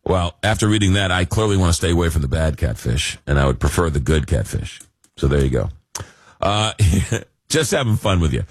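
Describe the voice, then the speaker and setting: A man with a very deep, husky voice hosts a call-in radio show, a little hoarse from a cold. He rambles casually, full of "uh"s, with a dry sense of humor. The studio sound is close and dead, with no room echo. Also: animated, a little fast. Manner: rambling, many fillers, dry joking. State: fighting a cold, losing his voice. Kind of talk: casual late-night call-in radio talk.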